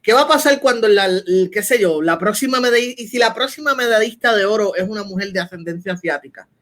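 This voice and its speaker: A man's voice: high-pitched, a little fast, fairly bright tone. A man with a Puerto Rican accent in a casual, opinionated podcast discussion, talking emphatically and posing rhetorical questions. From Puerto Rico. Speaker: podcast co-host.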